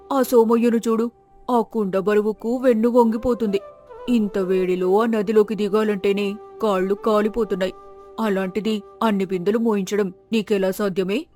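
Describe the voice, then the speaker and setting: A woman's voice: mid-range voice, dark tone, natural voice. A woman voicing a nagging village neighbour who scolds and mocks sarcastically in a moral story.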